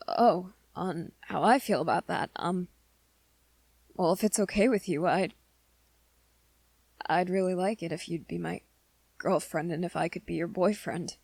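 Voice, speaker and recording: A woman voicing a shy, earnest, lovestruck young male werewolf in an ASMR romance roleplay, with halting, hesitant, soft-spoken delivery. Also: fairly low pitch, gravelly, husky, no room sound, heavily acted. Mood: shyly happy.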